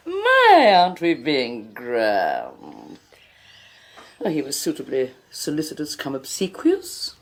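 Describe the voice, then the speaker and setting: A tyrannical rich woman who drawls her mockery slowly, her lowish voice swooping wildly up and down. She sounds sneering and theatrical, like a villain in an old radio horror play. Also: huge pitch swings, exaggerated voice. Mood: mocking. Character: despotic rich older woman, mocking, domineering. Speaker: Henrietta Forsythe. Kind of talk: 1980s radio horror drama acting.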